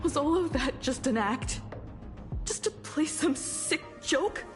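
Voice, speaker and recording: A woman's voice: high voice, expressive, slow pace, over music. A woman playing a wounded young woman in a video game, with pointed, emotional, accusing delivery. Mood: hurt, accusing.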